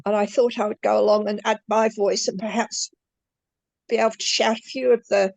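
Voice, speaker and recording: An older woman, telling her story as a guest in a halting way that repeats words. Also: medium pitch, level tone, vocal fry, a little mellow, no room sound. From New Zealand. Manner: slow, halting, repeats words as she goes. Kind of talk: conversational interview.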